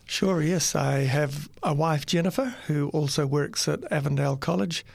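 A New Zealand-born man in his fifties, a college dean, answering interview questions in a measured, modest way in a studio. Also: on the high side, creaky, no echo. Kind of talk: conversational interview.

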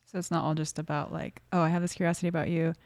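Female interviewer, low and a bit gravelly, asks long trailing questions. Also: flat delivery, racing, no echo, clean studio sound. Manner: long rambling questions, soft trailing endings. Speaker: podcast host interviewer. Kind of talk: warm conversational interviewing.

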